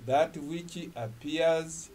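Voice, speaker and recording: A man's voice: fairly high pitch, drawn-out words, a little mellow. An older man whose first language is Swahili, preaching and praying emphatically in English at a studio desk. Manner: emphatic, gestures while preaching. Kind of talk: sermon closing and prayer. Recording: distant from the mic.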